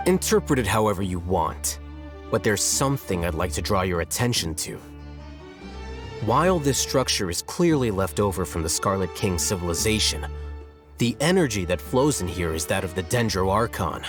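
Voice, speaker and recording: An actor playing a composed, aloof, intellectual scholar in a video game, speaking in a calm, dry, cerebral way. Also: male voice, deep voice, normal speed. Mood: detached, dry. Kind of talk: video game character acting. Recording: long reverb, faint music behind.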